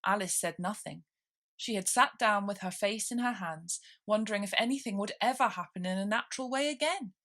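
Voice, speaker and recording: A woman reading a classic children's story aloud as expressive scripted narration. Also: mid-range voice, brisk. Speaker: storybook reader.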